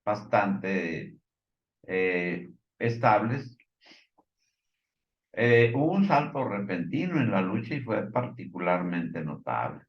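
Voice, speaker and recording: Slow-talking Mexican man in a roomy recording. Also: fairly low pitch, expressive, highs cut off. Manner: halting, hesitant delivery with filler words and stumbles. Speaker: commentator. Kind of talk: informal news commentary, partly reading reports.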